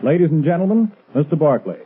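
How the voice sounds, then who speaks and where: A male announcer reads in classic 1930s radio style. His voice is slightly high. The recording is narrow and tinny. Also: big pitch swings, quick pace, husky. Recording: tinny, band-limited old radio recording.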